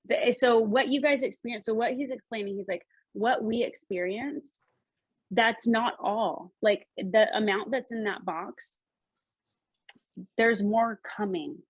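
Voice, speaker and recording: Halting woman medium with a higher voice. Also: breathy edge, no room sound, poor audio. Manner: halting, repetitive, animated relaying. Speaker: psychic medium relaying messages. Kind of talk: spoken reading, relaying messages in quotes.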